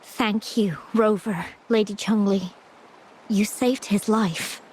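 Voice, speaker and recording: An actress playing a gentle, ailing young woman in a video game, soft-spoken and hesitant in her delivery. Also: mid-range voice, fairly even intonation, slow pace, slight background noise, natural voice, rough recording. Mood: earnest, grateful. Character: frail, gentle ill young woman, former landscape painter. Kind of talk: dramatic video game voice acting.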